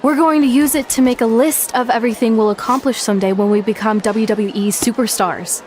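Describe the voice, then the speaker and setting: A woman's voice: a little high, steady tone, brisk, darkish tone, natural voice. A woman playing a driven, serious young aspiring wrestler in a video game, earnest and no-nonsense. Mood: earnest, determined. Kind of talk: scripted video game cutscene acting. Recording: faint background noise.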